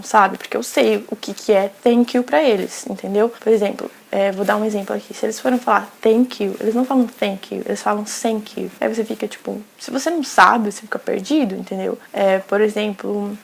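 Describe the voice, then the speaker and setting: Fast-talking, gravelly young Brazilian woman. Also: mid-range voice. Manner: chatty, slangy, frequent "sabe?" tags. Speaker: vlogger. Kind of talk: casual vlog chat.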